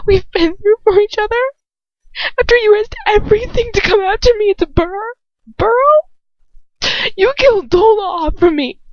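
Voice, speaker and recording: A woman's voice: very high-pitched, dry recording, muffled recording, voice pushed up. A woman voicing a heartbroken young woman who pleads with her lover in an emotional, trembling story reading.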